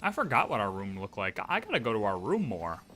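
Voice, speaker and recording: A man's voice: lowish voice, huge pitch swings, fast talker, bright, forceful tone, a little hiss or hum. A man giving casual, laid-back, chatty commentary as a game streamer. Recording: game music behind the voice.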